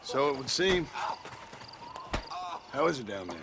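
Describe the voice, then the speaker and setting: A weary American gunslinger in a game cutscene. His delivery is gruff, dry and terse, with noticeable noise behind him. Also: mid-range voice, sing-song, a little slow. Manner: terse, sardonic. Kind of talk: video game cutscene acting. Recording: clear background noise.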